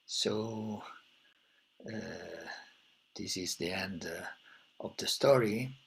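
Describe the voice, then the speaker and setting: A man's voice: sits low, very slow, creaky, breathy edge, poor audio. A man who is not a native English speaker, giving an academic presentation, hesitant and searching for words.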